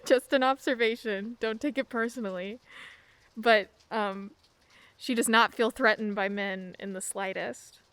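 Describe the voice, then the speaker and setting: A young woman voices a bookish teenage girl who talks in big words and mocks herself dryly. Her voice sits a little high, and she often hesitates. This is a radio play. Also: steady tone, unhurried, a bit bright, dry recording, poor audio. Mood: wry. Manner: hesitant, wordy, dry self-mocking. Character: shy bookish teenage girl, wry and self-deprecating.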